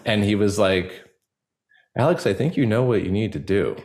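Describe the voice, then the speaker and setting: A man speaking casually and informally as an interview guest, in a halting way with many filler 'likes'. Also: fairly low pitch, darkish tone. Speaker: actor, podcast guest. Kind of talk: casual personal interview talk.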